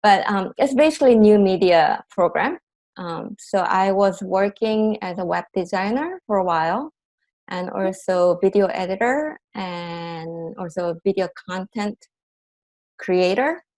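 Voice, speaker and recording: A woman's voice: medium pitch, animated, a little slow, a little mellow. A woman in her fifties from South Korea with a Korean accent, talking in a casual interview, thoughtful, with many fillers and restarts. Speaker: massage therapist and dancer.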